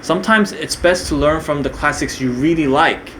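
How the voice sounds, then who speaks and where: A man's voice: a little high, normal speed, fairly bright tone, slight background noise, poor audio. A young man from Korea, partly raised in New Zealand, talking off the cuff in a casual monologue, with many fillers and restarts. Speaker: video creator.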